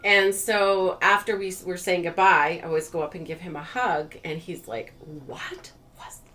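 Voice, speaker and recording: A woman vlog host telling personal stories in a casual, animated way, acting out bits of dialogue as she goes. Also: lowish voice, normal speed.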